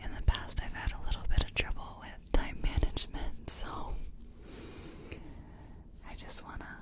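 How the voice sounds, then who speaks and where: A woman softly whispering in an ASMR roleplay as a makeup artist, breathy and gentle, with a hesitant, murmuring delivery. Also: moderate pace. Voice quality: breathy, mostly unvoiced whisper.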